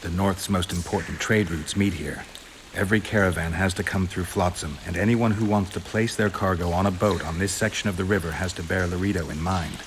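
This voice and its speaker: An actor playing a stoic, world-weary monster hunter in a video game, terse and dryly understated. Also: male voice, low-pitched, steady tone, fairly quick, background noise, natural voice. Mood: dry, matter-of-fact. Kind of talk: video game cutscene acting. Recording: rain and ambience behind the voice.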